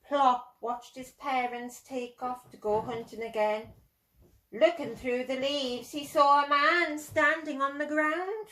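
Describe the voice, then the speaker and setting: High, slow woman reading to children. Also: bright, forceful tone. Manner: addresses young listeners directly, demonstrative. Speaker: children's storyteller. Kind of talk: expressive read-aloud narration for young children. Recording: roomy echo.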